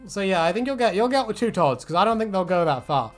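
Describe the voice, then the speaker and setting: Casual male YouTube host, high-ish playful chat not required; loose, jokey, sweary, music underneath. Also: sing-song, quick pace. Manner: joking, swearing, rambling. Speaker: YouTube host giving reactions to fan predictions. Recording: music playing behind. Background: background music.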